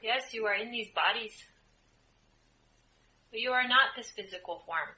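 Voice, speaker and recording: A woman leading a guided group meditation as a spiritual channeler, speaking in measured, deliberate phrases with frequent pauses. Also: a little high, sharp tone, rough recording. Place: indoor room, live group setting. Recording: live group room, clear background noise.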